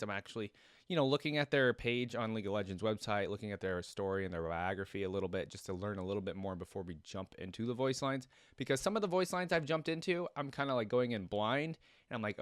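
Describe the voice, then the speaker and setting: A man's voice: medium pitch, smooth, dry recording, clean studio sound. A man hosting a reaction video, talking informally to viewers and thinking aloud in loose, rambling commentary. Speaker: YouTube reaction host.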